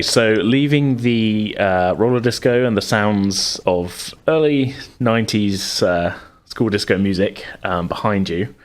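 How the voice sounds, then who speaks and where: A low-voiced British man acts as game master and podcast host. He speaks casually, narrating and recapping in a hedging, informal way.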